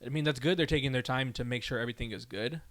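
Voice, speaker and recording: A man's voice: mid-range voice, very fast, a bit bright, dry recording. A man co-hosting a podcast, chatting casually with short, easygoing replies and laughs. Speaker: podcast co-host. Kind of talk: casual chat.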